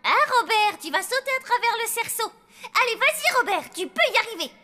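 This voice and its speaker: A woman's voice: very high voice, very animated, fairly quick, over music. An actress playing a bossy, cocky little zombie girl who bickers confidently in a French cartoon dub. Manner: bickering, sure of herself.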